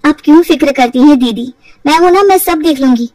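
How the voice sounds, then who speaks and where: Woman as a high-voiced, earnest young daughter-in-law. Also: brisk, over a noisy crowd, lightly acted. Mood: reassuring. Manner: earnest, attentive, expressive. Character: young dutiful daughter-in-law, caring, slightly put-upon. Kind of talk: acted Hindi audio storybook.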